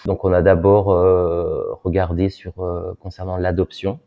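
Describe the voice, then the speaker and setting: A man in his thirties, a guest telling his story in an interview, pausing often with fillers. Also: deep voice, flat delivery. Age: 30s. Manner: hesitant, many filler pauses. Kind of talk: personal interview talk. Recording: dull lo-fi sound.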